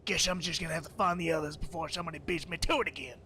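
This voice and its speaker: A man's voice: fairly high pitch, steady tone, rapid-fire, bright, forceful tone. A man playing a callous, menacing younger gunman in an amateur script read. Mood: callous, annoyed. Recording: noisy home microphone recording.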